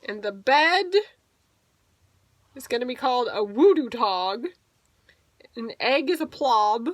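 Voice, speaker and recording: A woman teacher reading a picture book aloud to children, playfully expressive, stretching words for emphasis and adding asides. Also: fairly high pitch, slow, no room sound.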